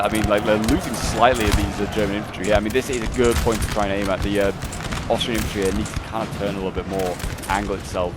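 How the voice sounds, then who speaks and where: A man's voice: mid-range voice, noisy background. A man casually commentating a strategy game battle, rambling with fillers and restarts. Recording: loud game battle sounds under voice. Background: in-game gunfire and artillery.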